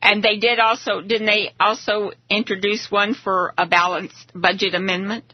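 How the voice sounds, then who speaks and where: A woman's voice: mid-range voice, flat delivery, moderate pace, no echo. A woman hosting a live political talk radio show, talking to guests and listeners. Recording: dull lo-fi radio audio.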